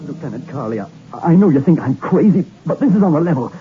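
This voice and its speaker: A man's voice: a little high, expressive, fast talker, over music, lightly acted. An actor playing a stammering, jittery young man. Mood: anxious. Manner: stammering, anxious and insistent. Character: nervous young man haunted by a dead woman. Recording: thin, phone-like 1960s radio drama recording.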